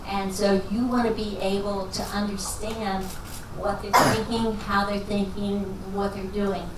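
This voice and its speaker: A woman's voice: mid-range voice, level tone, measured pace. Joking woman professor in an echoey hall. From Texas. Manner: folksy and self-deprecating, jokes and laughs as she talks. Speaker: guest speaker, finance professor. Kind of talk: answering questions at a lecture. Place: lecture hall. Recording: echoey hall recording from far off, with noise.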